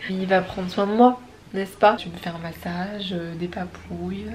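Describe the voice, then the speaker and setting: A woman's voice: mid-range voice, fairly even intonation, measured pace. A chatty woman filming herself at home on a handheld camera, her voice thick and stuffy from a head cold. She rambles along casually and laughs often, with faint background noise. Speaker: vlogger. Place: home, indoors.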